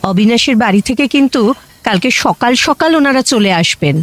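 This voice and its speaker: A woman's voice: on the high side, lively intonation, brisk, no echo. A woman playing a fussing, insistent middle-aged mother in an audio drama. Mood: caring, insistent.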